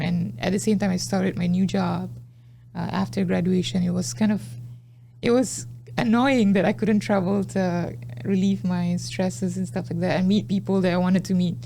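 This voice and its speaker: Gravelly, mellow man in his twenties. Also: sits high, slight background noise. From Singapore. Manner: easygoing, hesitant, many "uh"s and restarts. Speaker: podcast guest. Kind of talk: casual interview chat.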